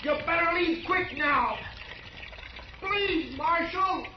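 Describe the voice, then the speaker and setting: An American man playing a desperate, frightened fugitive rancher in an old radio western, shouting in a strained voice from a distance. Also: very high-pitched, takes it slowly, dull audio. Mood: desperate, pleading. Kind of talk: 1950s radio western acting. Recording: distant, echoey, noisy old radio recording.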